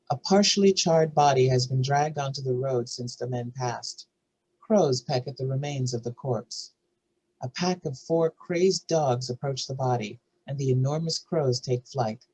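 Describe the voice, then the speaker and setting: Casting: male narrator for screenplay directions, slightly high, husky voice. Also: a little mellow, poor audio. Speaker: narrator reading stage directions.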